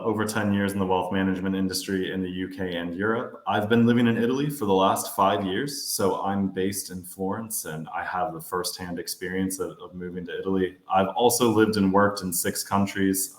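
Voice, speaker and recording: An American man in his thirties, a financial adviser giving a webinar presentation in a steady, explanatory way with some fillers. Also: low voice, normal speed, few pauses, echoey room. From United States.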